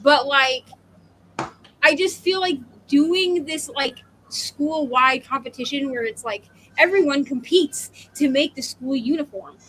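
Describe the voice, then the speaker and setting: A woman's voice: high voice, unhurried, a bit bright, slight background noise, rough recording. A woman in her thirties co-hosting a casual book discussion, talking in a rambling, opinionated way with emphatic asides. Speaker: co-host of a book readalong live show.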